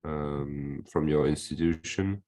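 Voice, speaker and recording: A man with a strikingly deep voice moderates an online webinar. He speaks formally but hesitantly, with many "uh"s, over a video-call line. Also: slow, mellow. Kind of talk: moderating a panel.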